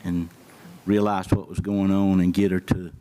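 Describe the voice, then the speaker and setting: A man's voice: deep voice, flat delivery, normal speed, dark tone, slight background noise. A man with a Southern accent giving plain-spoken remarks at a county meeting as an emergency services official. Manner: plain-spoken, many fillers. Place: county board meeting room.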